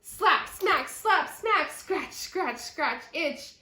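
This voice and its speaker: A woman's voice: high-pitched, lively intonation, very slow, sharp tone, pitched above the performer's own voice, exaggerated voice. A woman voicing panicked moose relatives in a storybook, exclaiming shrilly about biting bugs. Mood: alarmed. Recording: roomy echo.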